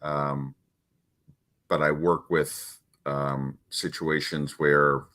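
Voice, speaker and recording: A middle-aged man with a deep voice, a parenting coach talking casually in an interview, with many restarts and fillers. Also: level tone, very slow, pushed and bright.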